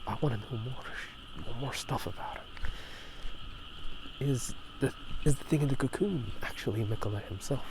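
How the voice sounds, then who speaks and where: A man doing casual ASMR game talk in a soft, breathy half-whisper, musing in a halting, rambling way. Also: mid-range voice. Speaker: ASMR video host. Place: outdoors at night. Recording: noisy outdoor ambience. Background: drainage pipe water, passing cars.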